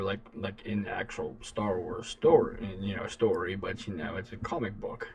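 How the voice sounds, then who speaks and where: Low, creaky-voiced man rambling casually. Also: recorded from a distance. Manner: halting, repetitive, loose phrasing. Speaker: reaction video host. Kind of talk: casual rambling reaction commentary.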